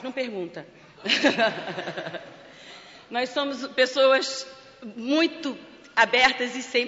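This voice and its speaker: A woman's voice: sits high, pushed and bright. A woman with a Brazilian accent, a charity president and clown, giving a joking, emotional award acceptance speech. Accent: Brazilian Portuguese. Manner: emotional, joking, fighting back tears. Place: large parliament hall. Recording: lo-fi with long hall reverb.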